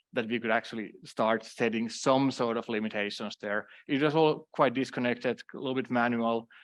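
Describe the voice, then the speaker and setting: A man's voice: mid-range voice, fairly bright tone. Finnish-accented man giving a tech talk. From Finland. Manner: many fillers and restarts, self-deprecating asides. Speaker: conference speaker. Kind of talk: conference talk.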